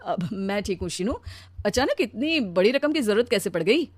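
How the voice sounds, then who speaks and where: A woman's voice: mid-range voice, very animated, moderate pace, darkish tone, no echo. A woman voicing a mild, anxious, put-upon working husband in a cartoon story, with a plaintive, weary manner. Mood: concerned. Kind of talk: animated cartoon story dialogue.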